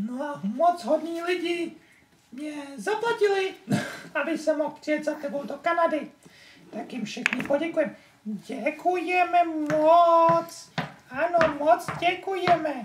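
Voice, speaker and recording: A woman's voice: sits high, natural voice. A woman voicing a cheeky, chattering little boy marionette in an improvised, playful puppet show for a small child. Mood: chatty, playful. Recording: echoey room, noisy amateur home recording.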